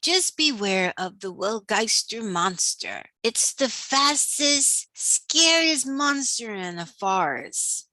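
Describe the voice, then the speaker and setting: Woman acting mysterious old male car, storybook, spooky, slow drawn-out delivery, lively swoops. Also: fairly high pitch, no echo, full character voice. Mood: ominous. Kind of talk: children's storybook character voice.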